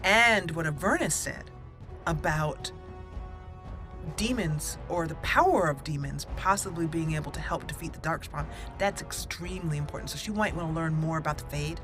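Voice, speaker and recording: A woman's voice: sits low, moderate pace. Chatty woman commenting on her game. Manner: chatty and friendly, laughs easily. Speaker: gaming channel host. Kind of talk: roleplay gameplay commentary. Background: game music.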